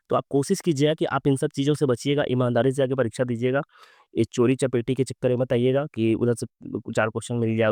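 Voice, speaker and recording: A young man from Bihar, teaching exam quiz questions in with a sore, hoarse throat, reading English questions slowly and explaining with many fillers. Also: mid-range voice, darkish tone, no echo, studio quality.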